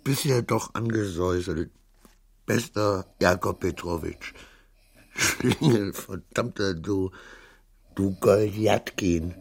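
A man's voice: lowish voice, vocal fry, breathy edge, exaggerated voice. A man in a radio drama playing an anxious, self-berating petty clerk who mutters to himself and swings into outbursts. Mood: self-mocking.

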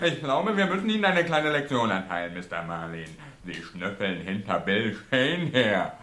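A man's voice: lowish voice, sing-song, moderate pace, pushed and bright, reverberant. An actor playing a smug, menacing gangster henchman who threatens with mock politeness in a radio crime drama. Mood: mocking menace. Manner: mock-polite, repeats catchphrases.

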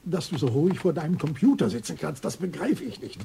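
A man's voice: a little high, sing-song, fast, creaky, dark tone, a little hiss or hum. An actor playing an anxious, nagging businessman in a radio crime drama. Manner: worried, nagging. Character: anxious, jittery male investment businessman.

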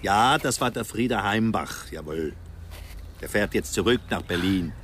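A man's voice: on the low side, animated, unhurried, a bit bright, slight background noise. An actor playing an older man in a radio drama who is curt, unwelcoming and reproachful. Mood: brusque, reproachful.

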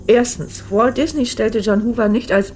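A woman playing a brisk, methodical investigator in a science-fiction radio drama, laying out facts dryly. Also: on the high side, a little mellow, a little hiss or hum, lightly acted. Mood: matter-of-fact, dry. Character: brisk adult woman investigator piecing together a conspiracy.

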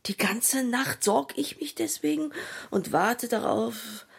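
An actress playing a candid, giggly middle-aged mother of ten in a radio play, confessional and self-mocking in a husky voice. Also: on the high side, slow pace, full character voice. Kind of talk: naturalistic radio play dialogue.

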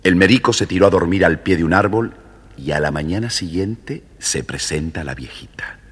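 Deep-voiced male fairy-tale narrator. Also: normal speed, breathy edge. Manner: expressive storyteller. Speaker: storybook narrator. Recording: old record, long reverb, faint noise.

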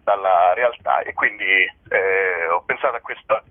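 A man's voice: low-pitched, normal speed. A man chats casually about his stage work as a comedy writer. His delivery is hesitant and meandering, with a dry wit. The voice comes through a narrow phone line, as in a radio call-in. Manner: hesitant, wry, rambling. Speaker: theatre comedian and writer presenting a show. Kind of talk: casual promotional interview. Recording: narrow-band telephone line, radio interview.

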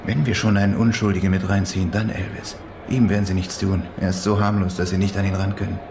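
An actor playing a thief's sceptical, matter-of-fact accomplice in a German radio crime drama, dry and unruffled. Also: male voice, sits low, level tone, fast, background noise.

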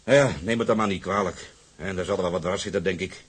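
Husky, gruff old sea captain. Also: male voice, sits low, fast talker. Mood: proud, brooding. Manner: blunt, insistent, ruminative. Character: proud old male sea captain, gruff, insistent host. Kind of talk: Dutch radio drama acting.

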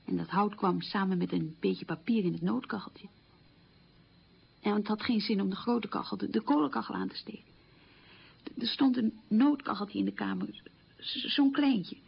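An actress playing a reflective middle-aged woman recalling wartime childhood hunger in a halting, emphatic radio monologue, with a husky, creaky voice. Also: mid-range voice. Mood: wistful, emphatic. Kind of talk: radio play monologue, conversational reminiscence. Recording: dull lo-fi radio recording.

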